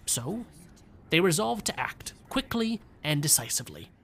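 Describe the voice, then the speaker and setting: Male narrator for short fiction, somewhat high-pitched voice, scripted read. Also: big pitch swings, normal speed, fairly bright tone, a little hiss or hum. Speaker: story reader.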